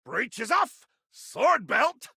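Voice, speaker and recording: Tiny hot-tempered video game pirate, highish raspy voice, super theatrical, dry close sound. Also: male voice, big pitch swings, extremely slow, pushed and bright, no room sound, exaggerated voice. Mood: haughty, defiant. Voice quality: raspy, gravelly. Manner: bombastic, theatrical, florid archaic phrasing. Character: pompous, diminutive, swashbuckling pirate with hot temper. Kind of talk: video game character acting.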